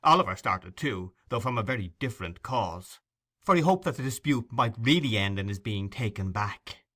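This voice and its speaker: Bright-voiced male audiobook narrator. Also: fairly low pitch, animated, normal speed. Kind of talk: scripted literary narration.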